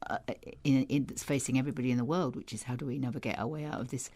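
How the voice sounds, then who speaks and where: A woman's voice: extremely low pitch, fairly even intonation, rapid-fire, dark tone. A woman from Yorkshire with a Yorkshire accent, talking earnestly as a guest on a radio talk show, often restarting her sentences. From Yorkshire, England. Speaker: studio guest.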